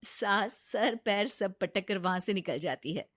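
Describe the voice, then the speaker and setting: A woman's voice: medium pitch, a little slow, a bit bright, dry recording, studio quality. A woman narrating a scripted family story as storyteller.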